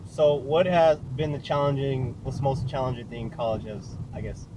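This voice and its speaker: A man's voice: medium pitch, fairly even intonation, normal speed. A man casually interviewing people, informal, often repeating and rephrasing his questions, recorded in a car. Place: inside a moving car. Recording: clear vehicle noise.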